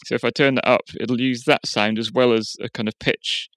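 A man narrating a software tutorial in an informal, explanatory way. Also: mid-range voice, steady tone, a little fast, heavy background noise. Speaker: tutorial presenter. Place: voice-over for a screen recording.

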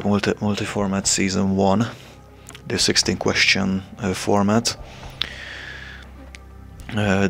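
A young man from Hungary with a Hungarian accent, making a hesitant, casual announcement to his channel's viewers, pausing often with fillers. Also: low-pitched, creaky, fairly bright tone. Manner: hesitant, many filler pauses. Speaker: channel creator.

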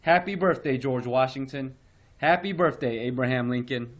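A man reading a children's textbook passage aloud slowly and evenly, like a teacher. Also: mid-range voice, dull audio. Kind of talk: read-aloud narration for children.

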